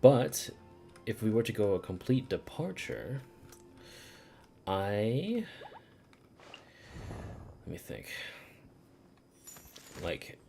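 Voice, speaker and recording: A man's voice: lowish voice, very animated, drawn-out words, vocal fry, long pauses, mellow. A man streaming a video game, chatting casually, thinking aloud in a rambling way with some swearing. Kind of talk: casual livestream chat over gameplay. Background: video game music.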